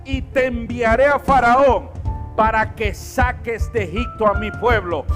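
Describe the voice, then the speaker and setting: A pastor preaching emphatically in call-and-response style, repeating key phrases for the congregation and pausing for a live interpreter. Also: medium pitch, fairly even intonation, faint music behind.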